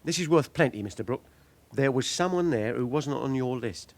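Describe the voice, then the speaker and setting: An actor playing a guarded small-time police informant who haggles for money in a British radio crime drama. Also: male voice, medium pitch, expressive, normal speed, close to an everyday voice. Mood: cagey, haggling. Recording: clear background ambience noise.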